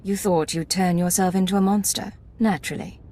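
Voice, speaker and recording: An actress playing a hard-edged, suspicious secret agent in a video game, curt and controlled. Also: female voice, fairly low pitch, fairly even intonation, a little fast, mellow. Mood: wary, cool.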